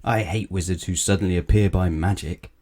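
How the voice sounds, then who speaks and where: A man's voice: low voice, fairly even intonation, a little fast, warm tone. A man voicing a grumpy, complaining storybook character who grumbles and moans. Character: grumpy, moaning man-like storybook grump, later pleading pig.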